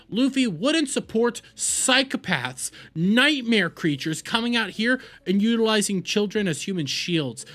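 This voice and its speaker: A man's voice: high-pitched, fairly bright tone. Angry man ranting with profanity. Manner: heated, profane, repetitive ranting. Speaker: reaction video host. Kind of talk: angry commentary rant.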